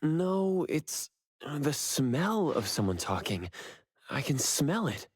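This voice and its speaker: A man playing a quiet, hesitant young investigator in a video game, with a husky voice. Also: on the high side, sing-song, takes it slowly, dry recording, full character voice. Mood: puzzled, hesitant. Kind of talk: soft video game dialogue.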